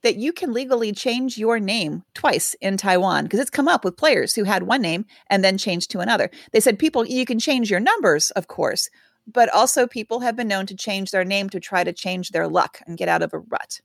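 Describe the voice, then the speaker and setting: A woman's voice: medium pitch, lively intonation, moderate pace, gravelly, no room sound, clean studio sound. Woman co-host telling stories on a podcast. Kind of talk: casual podcast chat.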